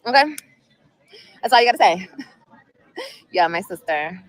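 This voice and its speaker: A woman's voice: on the low side, expressive, unhurried, rough recording. A woman in her thirties chatting casually on a livestream outdoors at sunset, emotional and rambling, repeating herself often. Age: 30s. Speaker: vlogger.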